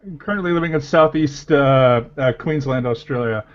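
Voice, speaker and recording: A man with a Canadian accent, a podcast panel guest, speaking hesitantly with halting fillers over dull call audio. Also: medium pitch.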